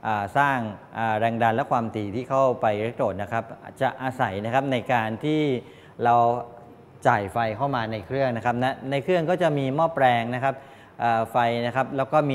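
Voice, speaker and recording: Older male lecturer, mid-pitched voice, halting explanatory delivery, polite particles, reverberant classroom, background rumble. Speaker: technical college lecturer. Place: medium-sized indoor classroom with students. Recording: reverberant room, clear background noise, low rumble.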